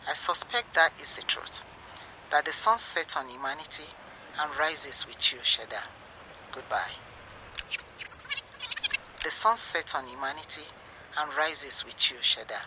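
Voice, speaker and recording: A woman playing a regretful professor in an audio drama, giving a solemn, measured farewell message over a transmission. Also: sits low, lively intonation. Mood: resigned, sorrowful. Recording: echoey transmission with background noise.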